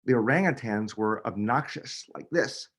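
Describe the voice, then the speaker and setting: A man, a children's book author, reading a picture book aloud with expressive, dramatic emphasis. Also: mid-range voice, normal speed. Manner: expressive, dramatic delivery with emphasis on key words.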